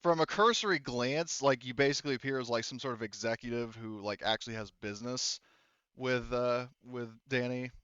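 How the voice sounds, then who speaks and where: A man's voice: medium pitch, brisk, bright, forceful tone, smooth, no room sound, dull audio. A man casually narrating a tabletop game as game master, rambling and joking as he goes.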